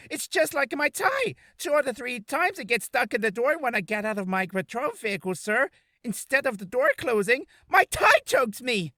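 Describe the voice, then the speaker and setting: Give a jittery young policeman in a comic game. He is high-pitched and swings wildly from mumbles to shrieks, stammering and grovelling in a dry recording. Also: bright, forceful tone, dry recording, heavily acted. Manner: stammering, over-deferential. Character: jittery, excitable young male police officer. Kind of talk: comic video game character acting.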